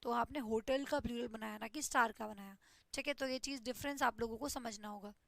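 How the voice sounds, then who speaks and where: A woman's voice: on the high side, fairly even intonation, brisk, gravelly, no echo. A woman teaches an online English grammar class, explaining step by step and checking in with "ठीक है". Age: 20s.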